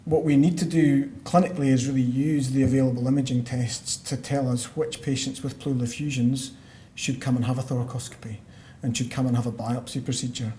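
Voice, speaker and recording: A Scottish man, a lung doctor, giving a measured medical conference talk with frequent fillers and restarts. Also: medium pitch, little pitch movement, dark tone. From Scotland. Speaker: pulmonologist giving a conference lecture. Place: conference hall. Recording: roomy hall sound, faint background noise.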